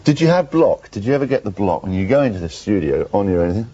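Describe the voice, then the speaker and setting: A man's voice: on the low side, big pitch swings, quick pace, dark tone, slightly rough. A man interviewing on morning TV, playful and chatty with theatrical asides. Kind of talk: breakfast TV interview. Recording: dull lo-fi broadcast tape.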